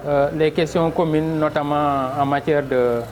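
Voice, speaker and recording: A man with a Senegalese accent giving a formal, measured interview statement as a local official, with halting phrasing. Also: on the high side, fairly even intonation, a little mellow. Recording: clear background noise, on-location ambience.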